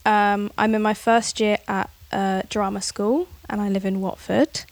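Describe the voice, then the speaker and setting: A woman's voice: medium pitch, measured pace, dry recording. A young woman of eighteen, a podcast guest, chatting casually with lots of ums and likes. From Scotland, raised in England. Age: young adult. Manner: relaxed, uses fillers like um and like. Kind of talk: casual interview chat.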